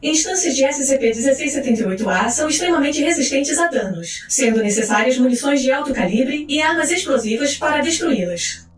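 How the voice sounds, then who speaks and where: High-voiced formal woman narrator, echoey. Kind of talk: scripted documentary-style narration of fiction. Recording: roomy echo, faint music behind. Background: faint music.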